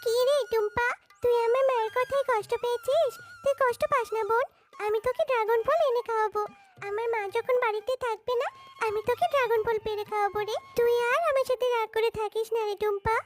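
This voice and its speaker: A woman's voice: extremely high pitch, fairly even intonation, quick pace. A woman voicing an earnest, childlike little animal girl in a cartoon, with a pleading manner. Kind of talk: cartoon voice acting. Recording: music behind the voice.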